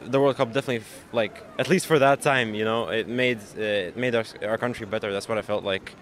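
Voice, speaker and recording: A man's voice: mid-range voice, quick pace, a bit bright. A young man answering a street interviewer hesitantly, stumbling and restarting as he works out his thoughts. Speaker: passer-by interviewee. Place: city street outdoors.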